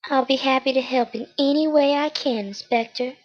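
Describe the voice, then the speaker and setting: A woman playing a polite young lady in an old-fashioned radio mystery, with measured, deliberate delivery. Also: sits high, fairly even intonation, slight background noise, close to an everyday voice. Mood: subdued, helpful. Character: polite young woman visitor, secretly a murderer. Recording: dull, lo-fi amateur radio-drama recording.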